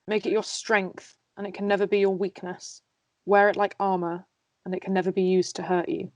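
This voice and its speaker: A woman's voice: mid-range voice, flat delivery, normal speed, darkish tone, dry recording, muffled recording, close to an everyday voice. A woman reading the part of a sardonic, tipsy male dwarf nobleman in an amateur fantasy table read. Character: sardonic drunk dwarf nobleman, blunt and world-weary.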